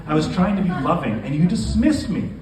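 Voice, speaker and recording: A man's voice: fairly high pitch, expressive, fairly quick, pitched above the performer's own voice, natural voice. A man reading aloud the part of an anxious, hurt pregnant woman in literary fiction, emphatic and pleading. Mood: hurt, reproachful. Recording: echoey live room, distant mic, noisy.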